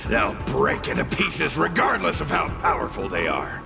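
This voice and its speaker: Creaky, swooping, cocky spirit creature. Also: male voice, mid-range voice, sing-song, normal speed. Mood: cocky, instructive. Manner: brash, swooping, exclaiming. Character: cranky, cocky male spirit companion creature. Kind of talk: scripted video game voice acting. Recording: voice under prominent music.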